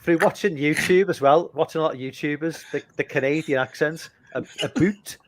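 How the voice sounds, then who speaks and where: A man's voice: on the high side, fairly quick, poor audio. A man in casual podcast chat, often stopping and restarting his sentences.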